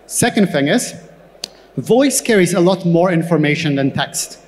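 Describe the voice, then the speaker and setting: Higher-pitched man speaking in an echoey hall. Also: animated, a little mellow. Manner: halting, repeats words as he explains. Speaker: tech company co-founder, panel guest. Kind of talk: on-stage interview answers. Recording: echoey hall with long reverb.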